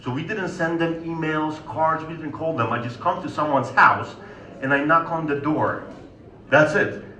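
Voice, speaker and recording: A man with a Polish accent speaks to a live audience in a casual, conversational way and often tags his points with a quick question to the room. His voice is bright and sharp. The recording is roomy and echoey, with the mic set some distance from him. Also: fairly high pitch. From Poland. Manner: conversational, keeps asking the audience "right?". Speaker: sales consultant giving a talk. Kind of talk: conference talk to an audience.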